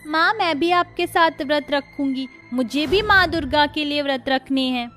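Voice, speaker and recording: A woman's voice: high-pitched, normal speed, a bit bright, soft background music. A woman voicing an innocent, stubborn little girl in a cartoon, childlike and pleading. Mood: earnest. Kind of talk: Hindi cartoon voice acting.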